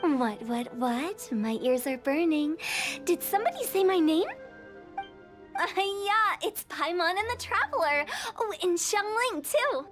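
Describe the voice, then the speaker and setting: A woman's voice: very high voice, animated, takes it slowly, music in the background, heavily acted. A woman playing a quirky, cheeky, theatrical young woman in a video game, with a girlish voice and offbeat, playful manner.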